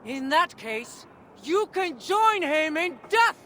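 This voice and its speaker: A haughty underworld queen, speaks slowly and with wide swings of pitch. She is imperious and menacing, taunting as in a game cutscene, over loud background noise. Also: sing-song, full character voice. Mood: menacing, scornful. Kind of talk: dramatic video game cutscene acting.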